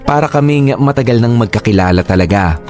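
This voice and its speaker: A man's voice: mid-range voice, lively intonation, a little fast, music in the background. A man in his late twenties telling his story in a confiding, candid way. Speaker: narrator. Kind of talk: scripted storytelling.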